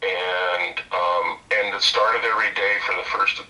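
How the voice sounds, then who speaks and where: A middle-aged man with a deep voice telling a rambling story on a video call, repeating words as he goes. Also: flat delivery, a little slow, bright, forceful tone, distant mic, soft background music, low-quality recording. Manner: rambling, repeats words, quotes others in his own voice. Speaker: interview guest, former game programmer and voice actor. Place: home room with bookshelves, indoors.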